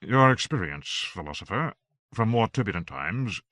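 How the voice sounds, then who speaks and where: A determined hero who speaks urgently in a low voice under strain, as a male narrator reads him. It stays close to the narrator's own voice. The recording is dry and close. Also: very animated, normal speed, a bit bright, dry recording. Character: Harry, a strained determined man.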